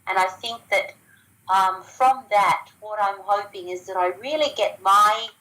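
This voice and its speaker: A woman's voice: mid-range voice, measured pace, pushed and bright, rough recording. An Australian woman talking casually as an interview guest, chatty, with frequent ums and restarts. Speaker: guest sharing business results.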